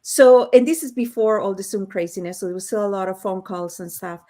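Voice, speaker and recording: A woman's voice: mid-range voice, fairly quick, mellow. A woman hosting a casual panel chat on a video call, telling stories with frequent laughs. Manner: chatty, laughing storyteller. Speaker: host.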